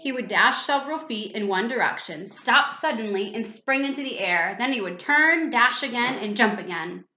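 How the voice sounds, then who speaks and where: A woman's voice: fairly high pitch, expressive, moderate pace, hardly any pauses, pushed and bright. A woman reading a children's storybook aloud, expressively, with little asides and questions to young listeners. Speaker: teacher reading a picture book aloud. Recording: echoey room, slightly dull sound.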